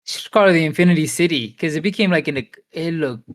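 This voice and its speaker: A man in his late twenties chats loosely on a podcast, dropping swear words and calling his friends dude. His voice sits a little high for a man. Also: quick pace. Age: 20s. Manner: loose, swears casually, calls others dude. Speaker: podcast co-host. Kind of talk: casual chat.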